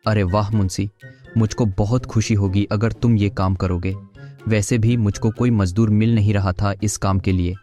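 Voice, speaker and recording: A man's voice: fairly low pitch, steady tone, normal speed, dark tone, natural voice. A man voicing a stingy, shrewd rich village boss in a cartoon, with a condescending manner. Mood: bargaining. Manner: condescending, sarcastic. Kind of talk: Hindi cartoon voice acting. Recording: music behind the voice.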